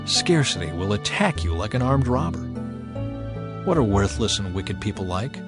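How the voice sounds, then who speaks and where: A man's voice: lowish voice, sing-song, moderate pace. A man giving a dramatized reading of scripture as a narrator, theatrical and emphatic, in the manner of someone urging a listener. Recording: music bed under the voice. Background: music.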